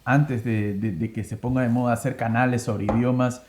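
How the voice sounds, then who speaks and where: A man's voice: medium pitch, steady tone, normal speed, noisy background. A man in his thirties hosting a casual interview, asking questions and rephrasing them as he goes. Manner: conversational, asks and rephrases questions. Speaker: host, interviewer. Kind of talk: casual interview, plus read sponsor ad.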